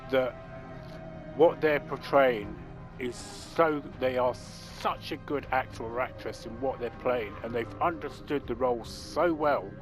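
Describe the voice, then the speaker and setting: A man commenting over his gameplay, rambling and halting, with restarts and fillers. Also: medium pitch. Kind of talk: let's play commentary. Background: game music.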